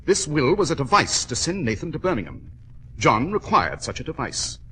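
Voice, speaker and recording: Commanding detective, swooping delivery. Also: male voice, fairly high pitch, huge pitch swings, normal speed, sharp tone, a little hiss or hum, exaggerated voice, rough recording. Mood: tense, explaining. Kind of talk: old-time radio drama acting.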